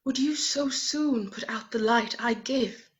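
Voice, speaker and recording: A woman voicing a calm, solemn female spirit in a radio play, with clipped replies. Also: fairly high pitch, unhurried, close to an everyday voice.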